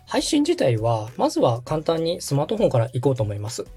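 A man's voice: fairly high pitch, huge pitch swings, brisk, dark tone, slightly rough, soft background music. A man hosting a step-by-step tutorial, explaining things politely and guiding the listener along. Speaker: podcast host and tutorial instructor, radio director.

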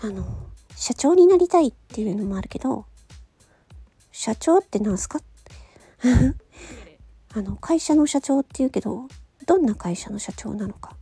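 A woman's voice: fairly high pitch, animated, measured pace, pauses often, mellow, slightly rough, no echo, faint music behind. A woman host chatting casually on her own, with a questioning, analytical way of talking. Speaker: host of a solo audio show, amateur voice actor. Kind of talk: casual solo chat.

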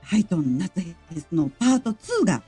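A lively, chatty woman with swooping intonation and a soft, slightly husky voice. She talks quickly and casually, as on a group audio stream, with faint music underneath. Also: fairly low pitch. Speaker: guest on a live audio stream. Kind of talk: casual group chat and announcement.

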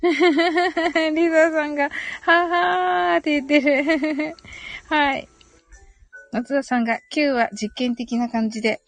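A woman's voice: high-pitched, steady tone, takes it slowly, no room sound, soft background music. A giggly woman hosting a casual live audio stream, chatting and reading out listener comments with frequent short acknowledgements. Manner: giggly, frequent laughter while talking.

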